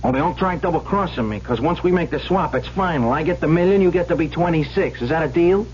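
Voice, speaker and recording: A man's voice: on the high side, expressive, fast, close to an everyday voice. An actor playing a pushy, streetwise young man making a hard sell in a 1970s radio drama. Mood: insistent, hustling. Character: pushy young male hustler, hard-boiled and insistent. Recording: lo-fi old radio broadcast, faint noise.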